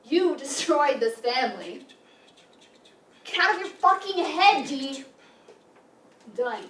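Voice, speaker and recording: Woman acting as fed-up sister, high voice, bitter, wounded, stage reading, echoey room. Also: expressive, takes it slowly, a bit bright, heavily acted. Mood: angry. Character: fed-up, hurt, bitter sister of a troubled man. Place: meeting room staged reading, distant camera. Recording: echoey room from far off, noisy.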